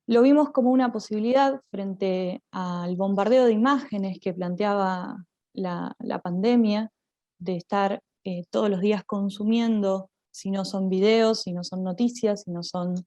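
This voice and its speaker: A woman with an Argentine accent giving a conference presentation as a drama teacher, explaining in a halting way with repeated words. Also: medium pitch, mellow, no room sound.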